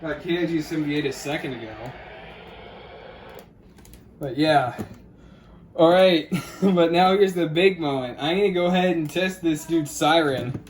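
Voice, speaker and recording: A man's voice: on the high side, unhurried, pauses often. A man hosting a casual unboxing video from his room, jokey and chatting to viewers and friends. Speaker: host of a radio unboxing video. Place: a room at home.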